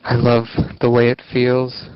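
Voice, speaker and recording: A man recording a personal audio diary, speaking haltingly with a whiny voice and long pauses between phrases. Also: mid-range voice, monotone, smooth, no echo. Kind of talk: slow personal audio diary, talking to himself. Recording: dull lo-fi tape with music behind. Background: music.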